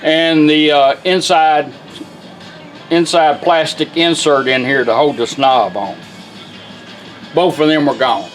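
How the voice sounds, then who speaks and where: A man's voice: on the high side, slow, pauses often, faint music behind. A plainspoken man, casually demonstrating a product he restored. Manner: folksy, plainspoken.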